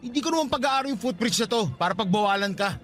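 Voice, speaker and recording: A man's voice: extremely high pitch, expressive, fast talker, a bit bright. A man acting as a defensive, burdened grown son in an emotional radio drama. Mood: bitter. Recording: music playing behind the voice.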